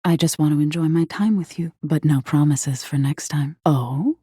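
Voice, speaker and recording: Female nightmare spirit, video game, lowish and husky, breathy, flirtatious menace, hidden insecurity. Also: moderate pace, mellow, no echo, clean studio sound. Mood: tender, teasing. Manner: breathy, teasing, often dropping into whispers. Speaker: voice actor in a dating simulator game. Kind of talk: intimate theatrical video game acting.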